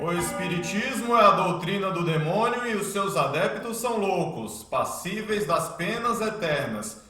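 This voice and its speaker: High, echoing, fiery priest. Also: male voice, lively intonation, normal speed, bright, forceful tone. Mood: scornful, thundering. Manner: pompous, scornful preaching. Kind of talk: religious radio drama acting. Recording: very echoey church-like room, distant.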